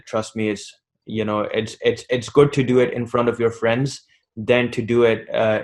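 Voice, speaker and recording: Flat-voiced man advising on a video call. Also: fairly low pitch. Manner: hesitant, many ums and restarts. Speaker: doctor answering questions on a webinar panel. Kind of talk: casual advice on a video call.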